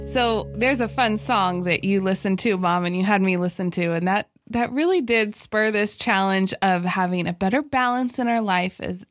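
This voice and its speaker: A young woman co-hosting a family faith podcast in casual chat, earnest and quick to laugh. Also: medium pitch, no room sound, clean studio sound, faint music behind. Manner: warm, laughs often, earnest about faith. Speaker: podcast co-host.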